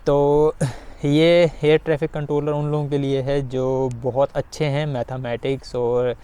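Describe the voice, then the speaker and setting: A man explains things informally to his viewers. His voice is mid-pitched, smooth and slightly mellow. He often pauses on fillers and repeats himself as he guides them through the details. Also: level tone. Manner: halting, repetitive explaining with frequent filler phrases. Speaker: video guide host.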